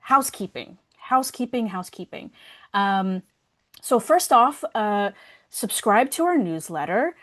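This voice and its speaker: A woman's voice: medium pitch, lively intonation, measured pace. Chatty, informal woman hosting a workshop. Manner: informal, chatty, self-interrupting. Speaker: workshop host and event organizer. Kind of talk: casual spoken housekeeping and announcements for an online workshop.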